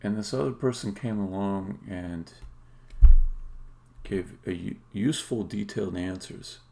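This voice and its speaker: Man, game developer on a livestream, deep voice, slow pace, casual thinking aloud, deliberate and halting, emphatic stresses. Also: gravelly, dark tone, a little hiss or hum. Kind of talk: casual live-coding commentary, thinking aloud.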